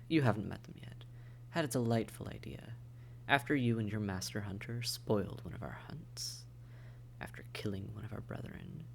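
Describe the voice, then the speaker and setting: A man playing a taunting, predatory vampire in a roleplay audio drama, with mock-tender menace and breathy, coaxing speech. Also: on the low side, slight background noise. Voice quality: breathy, often whispered, creaky. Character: silky, taunting, predatory vampire toying with captive hunter. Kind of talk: immersive roleplay audio drama, often whispered.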